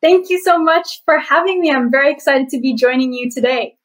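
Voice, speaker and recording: A woman's voice: sits high, fairly quick. A young woman from Bali, an activist guest answering interview questions in an earnest, reflective way with frequent fillers. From Bali, Indonesia. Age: young adult.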